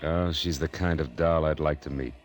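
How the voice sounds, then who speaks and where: An actor playing a smitten high-rolling gambler in an old-time radio drama, terse and wistful, hesitant around his sweetheart. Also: fairly even intonation, normal speed. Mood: intrigued.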